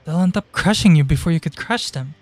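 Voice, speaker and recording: Man voicing a soft, calm teenage girl. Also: on the high side, a little fast, no echo, voice pushed up, lightly acted. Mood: earnest. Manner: soft, nonchalant reassurance.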